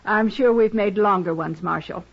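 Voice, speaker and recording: A woman's voice: mid-range voice, moderate pace, natural voice. An actress playing a dignified, stoic frontier woman, formal and composed, in a 1950s radio western. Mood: quietly firm. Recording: dull old radio recording.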